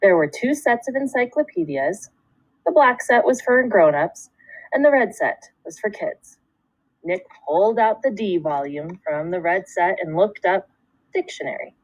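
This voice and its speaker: Female schoolteacher for a children's read-aloud: animated intonation, deliberate storytelling phrasing, dry room sound. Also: mid-range voice, no room sound, rough recording. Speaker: second grade teacher.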